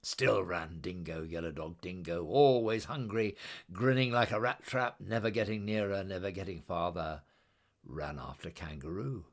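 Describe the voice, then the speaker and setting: A man reading a children's story aloud in a dramatic, rhythmic, expressive way, with incantatory repetition. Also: low voice, moderate pace. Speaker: storybook reader.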